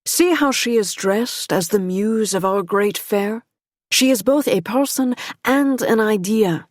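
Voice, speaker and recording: Lady doing a smooth french-accented gent, cool and teasing, dry close sound. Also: medium pitch, measured pace, dry recording, voice pushed up, lightly acted. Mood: cool, needling. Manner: cool, mocking courtesy.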